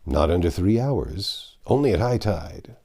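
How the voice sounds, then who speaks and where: Storybook sea captain, performed by a man: American, brash and hearty, excitable bargainer, very animated delivery. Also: deep voice, unhurried, full character voice. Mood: sympathetic.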